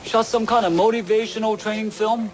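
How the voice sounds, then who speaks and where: An actor playing the easygoing owner of a rundown film studio, casual and obliging, in a crime audio drama. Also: male voice, high-pitched, fairly even intonation, brisk, a little hiss or hum, close to an everyday voice, low-quality recording. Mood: casual, informative. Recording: faint music behind.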